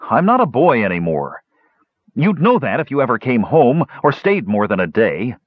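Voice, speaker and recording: A man's voice: medium pitch, sing-song, moderate pace, breathy edge, no echo, phone-quality sound, voice pushed up. A man voicing a stubborn young dwarf nephew in a fantasy audiobook, sullen, blunt and defensive. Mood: belligerent. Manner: belligerent, blunt, informal.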